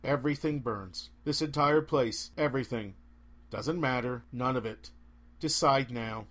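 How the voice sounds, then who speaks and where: A man voicing a flat, unbothered boy hunter in an audiobook, terse and nonchalant. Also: medium pitch, unhurried, voice pushed down. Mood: grim, detached. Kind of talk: audiobook character voice.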